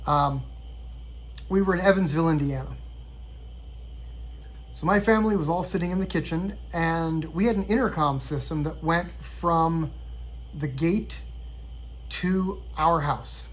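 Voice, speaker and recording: A middle-aged man from Sweden telling a personal story in a measured, reflective way. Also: fairly high pitch, rough recording. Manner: reflective, measured, with occasional restarts. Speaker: vlogger.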